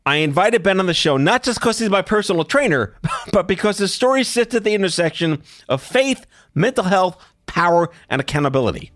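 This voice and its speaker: A man's voice: sits high, lively intonation, brisk, few pauses, fairly bright tone, no room sound, studio quality. A middle-aged man hosting a podcast interview, talking in a blunt, wry and casually profane way. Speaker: podcast host.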